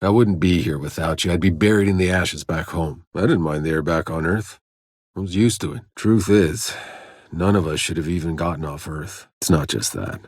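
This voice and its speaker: A man playing a gruff, sardonic, battle-worn soldier in a sci-fi video game, with a gritty, husky voice and a terse, dry delivery. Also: low-pitched, normal speed, no echo.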